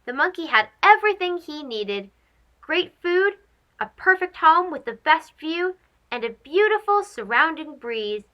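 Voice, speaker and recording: A woman's voice: high voice, measured pace, lo-fi sound. A woman hosting a children's read-aloud, narrating a storybook expressively and speaking directly to young listeners. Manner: animated, addressing young children.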